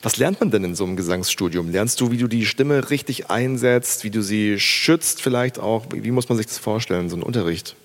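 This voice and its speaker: A man's voice: on the low side, brisk, hardly any pauses. A man hosting a live concert, announcing performers and interviewing a student on stage in an upbeat way. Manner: upbeat, announcing names and calling for applause. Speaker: concert host.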